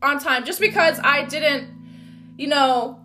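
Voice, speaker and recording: A loud, upbeat woman, hosting her own motivational podcast. Her voice sits high and bright, and she talks in an encouraging, casual way. Soft guitar music plays faintly behind her. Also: slow. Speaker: podcast host. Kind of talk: motivational solo podcast talk.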